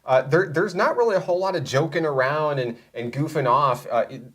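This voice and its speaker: A man's voice: on the high side, a little fast, fairly bright tone, breathy edge. A man hosting a college sports broadcast, interviewing a player with a polished announcer delivery.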